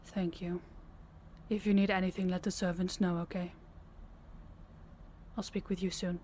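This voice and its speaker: A woman playing a guarded but caring young rival princess in an intimate fantasy audio roleplay, reserved and measured. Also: fairly low pitch, level tone, warm tone, slight background noise, close to an everyday voice. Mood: soft.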